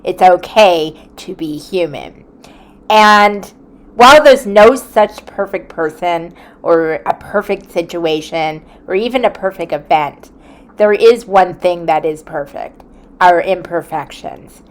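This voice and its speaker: A woman in her forties, a content creator, talking in an earnest, reflective way from a quiet room at home, with small hesitations. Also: lowish voice, slow pace. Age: 40s. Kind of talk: reflective vlog talk.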